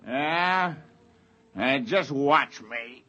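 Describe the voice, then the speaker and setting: An actor playing a brash, crude, hard-drinking spaceship crewman in a 1950s radio drama, loud and scornful. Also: medium pitch, expressive, extremely slow, exaggerated voice. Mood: defiant. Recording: muffled, noisy 1950s radio recording.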